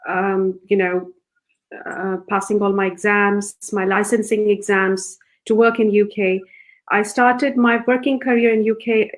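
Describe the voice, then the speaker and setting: A middle-aged woman from Pakistan, a psychiatrist guest, talking softly and gently with frequent hesitations. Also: mid-range voice. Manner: soft, gentle voice with many hesitations. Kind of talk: interview answers.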